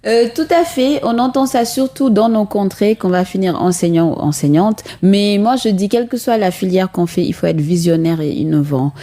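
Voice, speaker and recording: Mellow-voiced woman guest. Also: mid-range voice. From Senegal. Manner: earnest, measured, frequent hesitations. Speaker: guest, chemist and entrepreneur. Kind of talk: radio interview answers.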